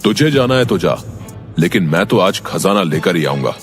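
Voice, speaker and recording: A man's voice: sits low, sing-song, moderate pace, soft background music. A man voicing a greedy, stubborn older brother who talks curtly, in a cartoon story. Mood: dismissive. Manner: curt, dismissive. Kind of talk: cartoon story dialogue in Hindi.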